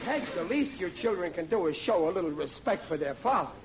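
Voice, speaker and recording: Through heavy noise and a muffled old radio sound comes a blustering, easily offended family man. His voice sits high for a man, with rough New working-class grammar. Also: sing-song, a little fast, over a noisy crowd. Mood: wounded, touchy. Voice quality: ungrammatical working-class speech. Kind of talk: 1940s radio comedy acting.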